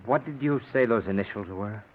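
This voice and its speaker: An actor playing a nervous, evasive husband in an old radio suspense play, hesitant and over-polite. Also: male voice, lowish voice, a little fast, close to an everyday voice. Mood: flustered, evasive. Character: nervous, evasive husband hiding a guilty secret. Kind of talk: 1940s radio suspense acting. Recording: tinny, hissy old radio broadcast.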